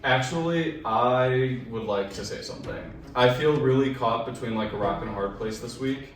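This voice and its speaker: A man's voice: mid-range voice, normal speed. A young man competing on a student reality show, talking earnestly and rambling a little. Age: young adult. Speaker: contestant. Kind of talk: casual talk. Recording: echoey room, distant mic, music behind.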